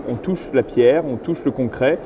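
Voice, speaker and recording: A middle-aged man answers interview questions as a consultant, laying out his points one step at a time. His pitch sits in an ordinary male range. There is clear urban noise behind him. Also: normal speed. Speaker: real estate agent and consultant being interviewed.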